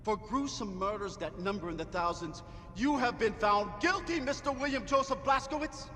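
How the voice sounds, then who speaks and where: An actor playing a pompous, sanctimonious judge of an oppressive regime, theatrical and savoring each word in a game cutscene. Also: male voice, animated, moderate pace, bright, forceful tone. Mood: stern, declaiming. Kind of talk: dramatic game cutscene acting. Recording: long hall reverb, slight rumbling noise.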